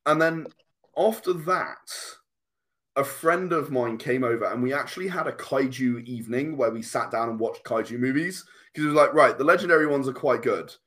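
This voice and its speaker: A man's voice: medium pitch, moderate pace, fairly bright tone. A man speaking as a guest game designer in a casual interview, explaining in long, enthusiastic runs.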